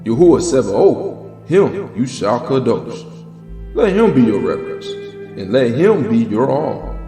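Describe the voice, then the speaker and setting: Echoing man solemnly reading scripture, animated pitch. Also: unhurried. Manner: solemn, declamatory, emphatic. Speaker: scripture narrator. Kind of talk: dramatized Bible reading. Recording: echoing voice over background music. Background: background music.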